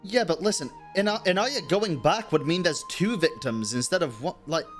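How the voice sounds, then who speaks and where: Slangy, irreverent game streamer, mid-pitched. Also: expressive, normal speed. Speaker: game streamer reacting to play. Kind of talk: casual stream commentary. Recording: game music behind the voice.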